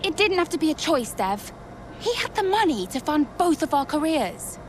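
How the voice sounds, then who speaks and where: An actress playing a hurt, defiant young racing driver in a video game, confrontational and plain-spoken in a naturalistic dramatic style. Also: female voice, sits high, big pitch swings, moderate pace, a little hiss or hum. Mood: hurt, accusing. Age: young adult.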